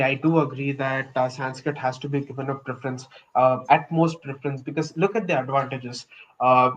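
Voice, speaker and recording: A man's voice: medium pitch, a bit bright, low-quality recording. A young man from India with an Indian English accent, earnestly explaining his views in a casual podcast discussion. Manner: earnest and opinionated, explains with examples.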